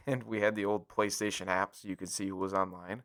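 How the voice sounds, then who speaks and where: Young male podcast co-host, lowish pitch with a sharp, forward tone; casual, animated chat. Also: fairly quick. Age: young adult.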